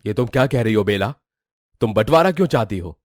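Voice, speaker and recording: A man's voice: lowish voice, lively intonation, brisk, darkish tone, dry recording. A man playing an earnest family man who protests and reproaches in a melodramatic moral-story drama. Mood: dismayed. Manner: protesting, indignant.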